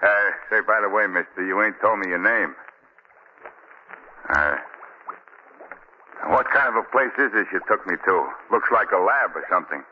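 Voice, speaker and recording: A man's voice: on the low side, expressive, normal speed, pauses often, natural voice. An actor playing a naive, rambling down-and-out man with folksy, ungrammatical speech in 1940s radio style. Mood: chatty, curious. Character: chatty, naive down-and-out man, turning frantic. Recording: dull, noisy 1940 radio recording.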